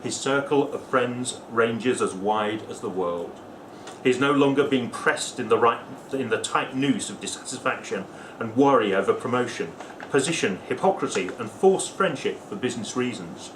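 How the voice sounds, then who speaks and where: A man's voice: medium pitch, moderate pace. A man speaking at a book launch, chatting casually with many fillers and restarts, then reading essay passages aloud. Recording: echoey room with background noise.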